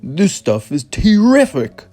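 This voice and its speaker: A woman's voice: on the low side, big pitch swings, slow, dark tone, faint music behind, pitched above the performer's own voice, full character voice. A woman voicing a greedy, gluttonous young boy who exclaims his lines in a storybook. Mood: gluttonous delight.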